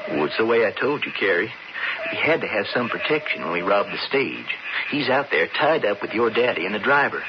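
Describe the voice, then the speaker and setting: An actor playing an intense, persuasive young outlaw in a radio western, with folksy frontier talk. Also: male voice, lowish voice, big pitch swings, fairly quick. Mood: earnest, plotting. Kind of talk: radio western drama acting. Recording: muffled, very noisy old radio recording.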